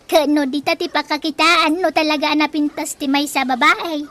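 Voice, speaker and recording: A woman's voice: high-pitched, steady tone, moderate pace, sharp tone. An actress playing a brash, vain housewife and mother who is always borrowing, in a sassy radio comedy-drama style. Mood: wheedling flattery.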